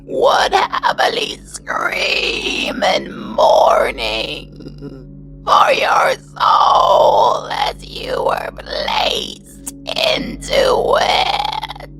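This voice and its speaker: A woman voicing a deadly, darkly affectionate banshee with a wailing rasp in a fantasy audio drama over music. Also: extremely low pitch, wildly swooping pitch, very slow, fairly bright tone, a little hiss or hum, exaggerated voice, low-quality recording. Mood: menacing wail. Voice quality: wailing, creaky, unvoiced shriek and rasp. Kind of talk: fantasy audio roleplay acting. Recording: music behind the voice.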